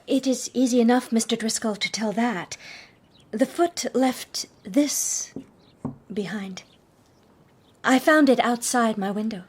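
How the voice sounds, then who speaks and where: An actress playing a witty young socialite detective, arch, playful and genteel in a period radio drama. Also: female voice, a little high, takes it slowly, long pauses, breathy edge. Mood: composed.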